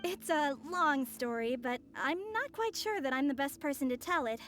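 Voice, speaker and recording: A woman's voice: high-pitched, animated, moderate pace, fairly bright tone, faint music behind. An actress playing a sly, self-assured young woman, speaking with poised, teasing theatricality in a video game cutscene. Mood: poised, formal.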